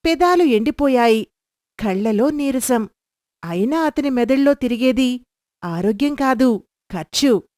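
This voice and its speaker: A woman narrating a storybook tale for children in a lively storytelling manner. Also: high voice, a little slow, long pauses, dry recording, studio quality.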